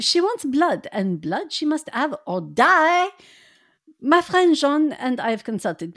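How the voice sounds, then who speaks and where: A woman's voice: sits high, full character voice. A woman voicing a grave, kindly older male professor with a mock French accent and stilted, dramatic phrasing. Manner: stilted, foreign-sounding phrasing, dramatic pauses.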